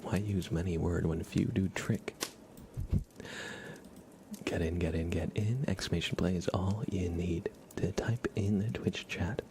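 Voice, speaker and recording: Soft-spoken asmr guy rambling to his stream, gravelly voice, noticeable noise in the recording. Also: low voice, steady tone, unhurried. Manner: informal, chatty, rambling. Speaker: ASMR livestream host. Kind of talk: ASMR livestream chat, soft-spoken to whispered.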